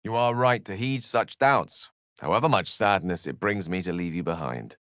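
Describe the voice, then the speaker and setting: A man's voice: deep voice, moderate pace, fairly bright tone, dry recording, studio quality. A man voicing an articulate, bookish human wizard in a video game, wry and gallant, with theatrical, wordy delivery. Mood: tender, sincere. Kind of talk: video game character acting.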